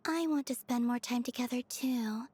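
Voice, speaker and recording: A woman voicing a gentle, dreamy and sweet young woman in a video game, soft-spoken, innocent and fond. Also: a little high, normal speed. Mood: hopeful. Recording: game dialogue under clear music.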